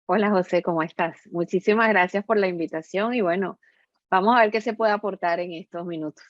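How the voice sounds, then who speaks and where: Low-pitched Venezuelan woman, dry muffled audio. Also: brisk, no room sound. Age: middle-aged. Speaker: podcast guest, entrepreneur and business consultant. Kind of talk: conversational interview answers. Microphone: remote online call.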